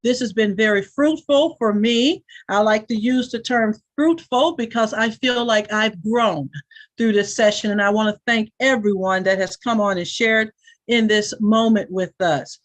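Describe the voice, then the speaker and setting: A woman's voice: on the high side, measured pace. A woman hosting a community forum as mayor, speaking in a gracious, motivational way.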